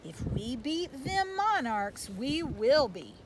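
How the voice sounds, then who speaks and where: A woman's voice: sits high, sing-song, takes it slowly, pitched above the performer's own voice, exaggerated voice. A woman voicing a proud, loving father who coaches baseball in a storybook, with folksy phrasing. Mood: excited, proud. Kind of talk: storybook read-aloud. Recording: loud background noise.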